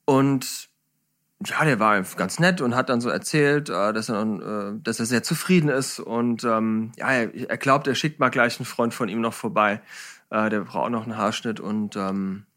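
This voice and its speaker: A man's voice: fairly low pitch, moderate pace, fairly bright tone. Man chatting casually as a podcast guest. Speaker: podcast guest, celebrity hairstylist. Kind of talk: casual anecdotal interview chat.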